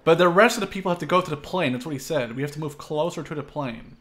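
A man's voice: on the high side, fast talker, over a noisy crowd. A man talking casually with teammates while playing a video game. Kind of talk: casual co-op gaming chat.

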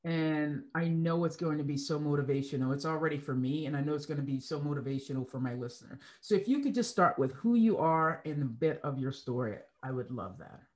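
A woman's voice: low voice, lively intonation, brisk, hardly any pauses. A middle-aged woman hosting a podcast interview over a video call, enthusiastic and gushing, often repeating herself. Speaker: podcast host. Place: home studio, video call. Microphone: large studio mic with earbuds.

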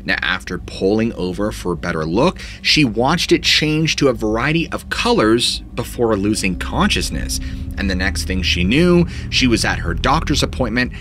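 A man's voice: fairly low pitch, big pitch swings, normal speed, fairly bright tone. A man in his thirties narrating eerie stories as a host, in a dramatic storytelling manner, from a small home studio on a studio mic. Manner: dramatic storytelling with sweeping rises and dips. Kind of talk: scripted narration of paranormal stories. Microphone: studio mic in front of him.

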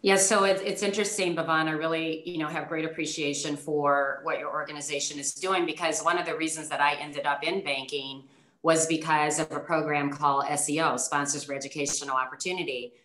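A woman's voice: low-pitched, steady tone, brisk, fairly bright tone. A woman who works in banking, telling her own story as a guest on a discussion panel. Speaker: banker, panelist. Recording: roomy echo.

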